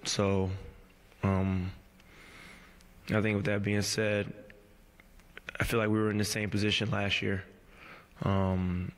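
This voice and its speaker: Low, flat-voiced man in a press room. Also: in a large hall. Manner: measured, halting, many fillers and restarts. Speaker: pro basketball player at postgame press conference. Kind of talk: postgame press conference answers. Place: press room before a team backdrop. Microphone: table mic on a stand.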